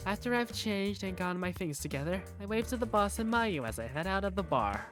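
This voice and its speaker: A woman's voice: medium pitch, animated, brisk, faint music behind, lightly acted. A woman voicing an easygoing young male bar waiter who narrates his day in a visual novel fan dub. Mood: easygoing, wry.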